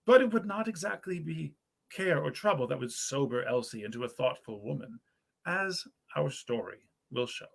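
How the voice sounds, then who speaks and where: A man, an actor, reading a nineteenth-century story aloud in an expressive, genteel storytelling style. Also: medium pitch, normal speed, vocal fry. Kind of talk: theatrical storytelling of 19th-century literature. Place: period parlor in a historic house.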